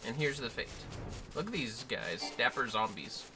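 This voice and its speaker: A man's voice: mid-range voice, animated, moderate pace. A man hosting a gaming livestream, chatting casually and playfully as he guides another player along. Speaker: livestream host. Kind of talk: casual gameplay chat and guidance. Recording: loud game audio behind voice. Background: loud game music and effects.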